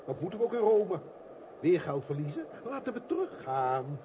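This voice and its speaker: A querulous, henpecked husband in a radio drama. He whines and blusters in a fairly high voice, full of self-pity. Clear noise runs behind the old recording. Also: very animated, moderate pace. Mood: indignant self-pitying. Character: grumbling, self-pitying small shopkeeper, henpecked husband and father. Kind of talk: radio play acting.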